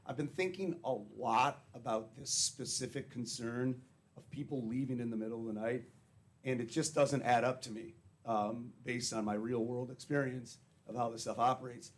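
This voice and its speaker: Brief: man, a little hoarse, blunt and plain-spoken, explaining his facility at a public meeting. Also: mid-range voice, fairly even intonation, moderate pace. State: slightly hoarse. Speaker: treatment facility director.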